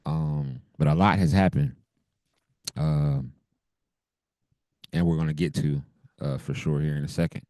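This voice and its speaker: A man co-hosting a podcast, chatting casually with loose slang and frequent laughs, his deep voice hoarse from a cold. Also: steady tone, measured pace, pauses often, mellow, no room sound. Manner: laid-back, laughs often, loose slang. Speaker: podcast co-host. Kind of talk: casual chat.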